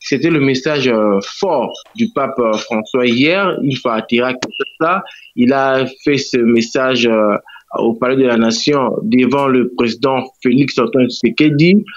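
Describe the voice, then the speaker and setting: A man's voice: mid-range voice, unhurried. A Congolese man reporting in a radio interview, hesitant, with many fillers and restarts. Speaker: guest correspondent. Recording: dull lo-fi line.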